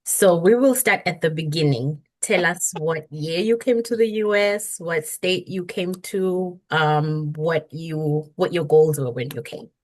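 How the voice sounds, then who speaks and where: A woman's voice: low voice, unhurried, hardly any pauses, a little mellow. A woman hosting a podcast, welcoming her guest and asking her opening questions in a friendly way. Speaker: podcast host. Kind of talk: podcast interview.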